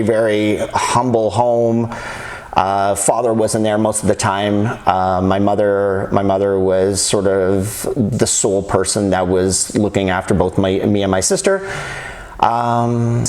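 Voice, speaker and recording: A man's voice: on the low side, a little mellow. Guy in an interview, casual and hesitant, lots of ums, faint hiss in the background. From Canada. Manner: hesitant, many restarts and fillers. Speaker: interview guest, business owner.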